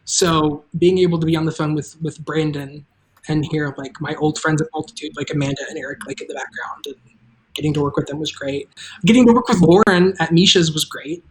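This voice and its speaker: A show creator hosting a live stream, talking casually and haltingly about making the show, with offhand asides. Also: mid-range voice, slight background noise, poor audio. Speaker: show creator and table read host.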